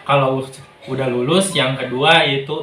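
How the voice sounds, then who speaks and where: A young man with a Sundanese accent, answering interview questions in a hesitant, soft-spoken way. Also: mid-range voice, fairly even intonation, normal speed, over a noisy crowd. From Garut, West Java. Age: young adult. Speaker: podcast guest. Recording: echoey room, distant mic, faint noise.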